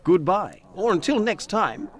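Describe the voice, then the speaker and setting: An actor playing a smug, lecherous Victorian gentleman in a video game, insinuating and condescending. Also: sits high, big pitch swings, a little slow.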